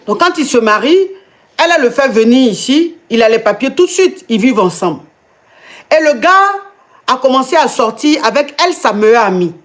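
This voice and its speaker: A woman's voice: a little high, expressive, a little fast. A woman with an Ivorian French accent hosts a live call-in advice chat, asking many questions and stammering repeats in disbelief. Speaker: host and coach.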